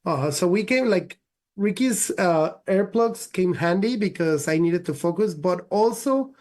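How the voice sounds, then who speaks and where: A man's voice: high-pitched, lively intonation, darkish tone. A man whose first language is Spanish, a guest chatting casually in an interview and dropping "like" often. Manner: quick, filler-heavy speech with many "like"s. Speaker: race winner guest.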